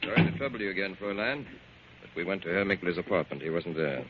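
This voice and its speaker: An actor playing a formal, clipped police captain in 1940s American radio drama, brisk and official. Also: male voice, low-pitched, expressive. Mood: businesslike. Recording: muffled, faintly noisy 1940s radio recording.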